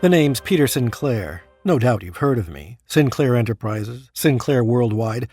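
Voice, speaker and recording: An actor playing a smug, stubborn dead business tycoon in an audio drama, with a wry, self-satisfied manner. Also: male voice, mid-range voice, animated, moderate pace, a little mellow, soft background music, natural voice. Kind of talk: first-person audio drama narration and dialogue. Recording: noisy background ambience, sometimes echoey.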